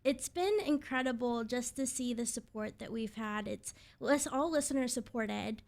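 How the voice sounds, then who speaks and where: A woman's voice: on the high side, normal speed, creaky. A woman in her twenties, a radio presenter, giving upbeat conversational answers as an interview guest. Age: 20s.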